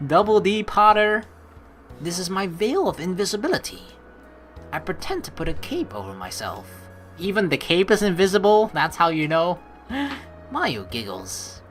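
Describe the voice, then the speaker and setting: A young man giving chatty let's play commentary, reading game text aloud and reacting to it. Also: a little high. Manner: animated, chatty, reacting as he reads.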